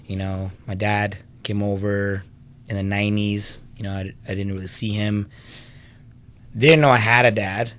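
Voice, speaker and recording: Man in his thirties, lowish voice, casual personal storytelling, conversational podcast guest. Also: level tone, moderate pace, fairly bright tone. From Venezuela. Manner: conversational, punctuated with "you know". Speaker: podcast guest, fitness business owner and trainer.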